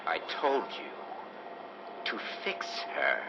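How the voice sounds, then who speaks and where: An actor playing a sinister mad doctor in an old-time radio horror drama, falsely kindly and theatrical. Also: male voice, medium pitch, wildly swooping pitch, slow pace, creaky, very noisy, heavily acted. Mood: soothing, sinister. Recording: dull radio sound under loud music.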